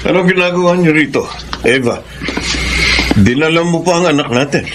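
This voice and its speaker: A man's voice: fairly high pitch, expressive, normal speed, close to an everyday voice. An actor playing a cold, irritated unfaithful husband and father in a radio drama, curt, stern and dismissive. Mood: annoyed, cold. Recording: noisy ambience behind the voice.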